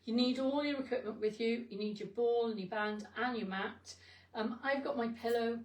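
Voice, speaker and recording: A woman with a croaky voice, leading an online Pilates class in a chatty, encouraging way. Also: fairly high pitch, normal speed, a little mellow. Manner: chatty, encouraging, with asides and self-corrections. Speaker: Pilates instructor. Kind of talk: instructing an online exercise class. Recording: echoey room, mic from a distance, music behind.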